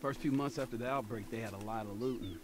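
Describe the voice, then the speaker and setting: A man's voice: medium pitch, quick pace, close to an everyday voice. An actor with an American accent playing a friendly, protective man surviving a ruined world in a video game. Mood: reflective. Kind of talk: naturalistic video game acting.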